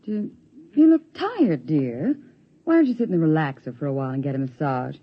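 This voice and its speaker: A woman's voice: low-pitched, fairly quick, exaggerated voice. An actress playing an indulgent, teasing suburban mother in a 1950s radio drama, with a soothing manner. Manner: soothing, lightly teasing, animated. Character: indulgent, lightly amused suburban housewife and mother. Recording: dull vintage 1950s radio recording.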